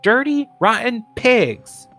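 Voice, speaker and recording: A man's voice: extremely high pitch, very animated, slow pace, a bit bright, faint music behind, voice pushed up, heavily acted. A man voicing a rude, smelly rat in raincoats with a screechy, hissing voice for a storybook. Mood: screeching, furious.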